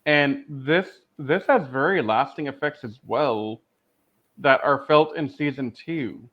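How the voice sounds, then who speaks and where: A man's voice: mid-range voice, slow, dull audio. A man co-hosting a review podcast in casual discussion, making his points emphatically. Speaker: podcast co-host.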